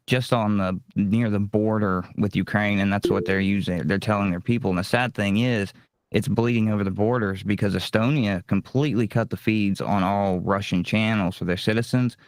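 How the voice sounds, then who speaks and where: A man's voice: lowish voice, smooth, no echo. A man hosting a casual live-streamed discussion, chatting with the panel and reading out questions. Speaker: panel host.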